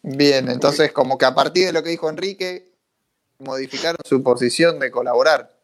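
A man's voice: medium pitch, moderate pace. A man putting questions to a guest in a conversational interview.

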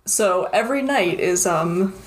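A woman's voice: fairly low pitch, expressive, a little slow, low-quality recording. A young woman in her twenties co-hosts a laid-back podcast. She rambles along and laughs a lot as she talks, with a faint hiss underneath. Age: 20s. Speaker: podcast co-host. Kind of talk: casual podcast chat.

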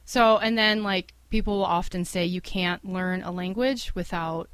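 A woman's voice: on the low side, moderate pace, a bit bright, smooth, no room sound. A middle-aged woman from Cleveland, a school's founding director, talking casually in an interview with many fillers and easy laughs. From Cleveland, USA.

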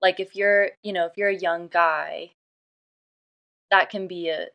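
A woman's voice: on the low side, measured pace, gravelly. A woman co-hosting a podcast in casual chat, often chiming in with short agreements. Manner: steady, frequent listener affirmations. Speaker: podcast co-host.

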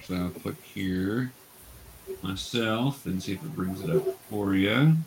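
A man's voice: low-pitched, takes it slowly, poor audio. Man guiding a game over faint noise. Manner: patient, step-by-step explaining, hesitant. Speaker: dungeon master, teacher. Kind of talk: guiding an online tabletop role-playing game.

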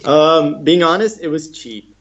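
A young man from India, a guest in a casual interview, relaxed and chatty, laughing often. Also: on the high side, measured pace, lo-fi sound.

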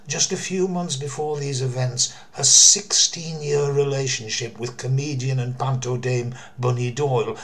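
An older man with a mid-pitched voice narrates a mystery as a storyteller. His delivery is theatrical and deliberate, leaning on key words and pausing for drama. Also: a little hiss or hum. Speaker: storyteller, former theatre worker.

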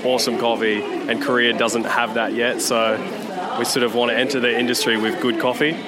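Man, loud crowd around. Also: mid-range voice, level tone, fairly quick. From Australia. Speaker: aspiring café owner.

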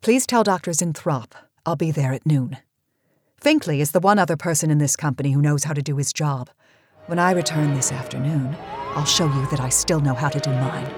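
An actress playing an imperious, vain, middle-aged cosmetics queen in a campy audio drama, husky and cutting. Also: sits low, brisk, music in the background, lightly acted. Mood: icy, offended. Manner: commanding, cutting, sardonic.

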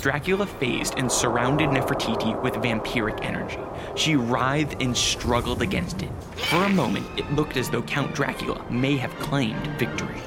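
A man's voice: mid-range voice, normal speed, hardly any pauses, loud music behind the voice, close to an everyday voice, low-quality recording. A man playing a grave, suspenseful narrator in a scripted radio drama, precise and solemn.

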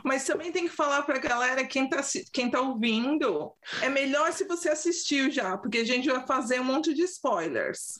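A woman's voice: high voice, lively intonation, moderate pace, hardly any pauses, fairly bright tone. A woman with a São Paulo Brazilian accent hosting a podcast, chatty, informal and prone to laughing. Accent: Brazilian Portuguese, São Paulo. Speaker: podcast co-host. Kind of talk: casual podcast chat.

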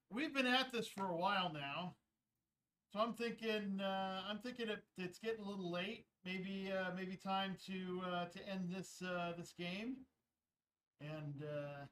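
A man hosting a casual podcast chat, rambling with many filler pauses as he wraps up. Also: high-pitched, fairly even intonation, sharp tone, slight background noise. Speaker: podcast host.

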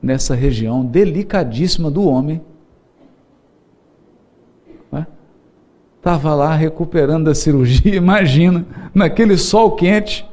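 A man giving a religious lecture, humorous and animated, repeating key words for emphasis. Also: on the high side, moderate pace. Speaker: Spiritist lecturer.

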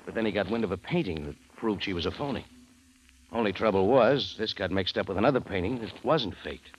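A man's voice: on the low side, lively intonation, fairly quick, husky. A man acting a wry, tough American adventurer in a hard-boiled 1950s radio detective drama. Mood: dry, relaxed. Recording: muffled old radio, music behind.

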